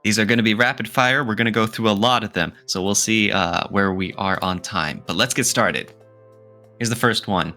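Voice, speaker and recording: A man co-hosting a podcast, talking casually and jokingly in an animated, teasing, argumentative way. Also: lowish voice, moderate pace, faint music behind. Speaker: podcast co-host. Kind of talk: casual, joking opinion talk.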